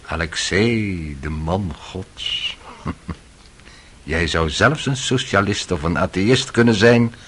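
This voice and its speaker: A man's voice: low voice, takes it slowly, a bit bright, a little hiss or hum. An actor playing a cynical, worldly young man in a radio drama, sly and needling in manner. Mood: scornful, needling. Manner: sardonic, insinuating.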